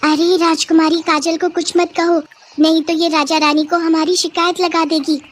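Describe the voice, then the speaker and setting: A woman's voice: extremely high pitch, level tone, normal speed, voice pushed up. A woman voicing a taunting young girl who jeers at a playmate in a cartoon moral story. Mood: spiteful. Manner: jeering, exclamatory.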